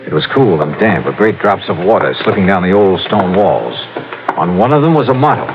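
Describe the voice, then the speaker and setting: An actor playing a wry, hard-boiled insurance investigator in a 1950s radio detective drama, telling it in clipped first person. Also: male voice, deep voice, very animated, a little fast, background noise. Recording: muffled old radio recording.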